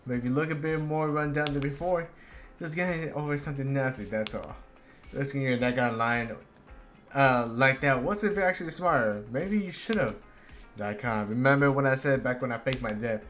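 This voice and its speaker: A man's voice: medium pitch, fairly bright tone, soft background music. A man streaming a video game with casual commentary, stumbling a little as he reads dialogue aloud. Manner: halting, stumbles while reading aloud. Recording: clear background noise.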